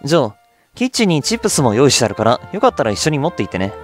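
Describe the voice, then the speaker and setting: A polite young woman from a visual novel, gentle and motherly, acted out by a man. She chooses soft, caring words with an expressive melody. Faint music accompanies her. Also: mid-range voice, racing, close to an everyday voice. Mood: kindly.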